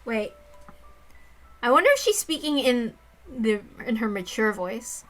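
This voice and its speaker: Casting: high, bright female reader for visual novel narration, with informal asides. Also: big pitch swings, unhurried, soft background music. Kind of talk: dramatic narration with casual asides.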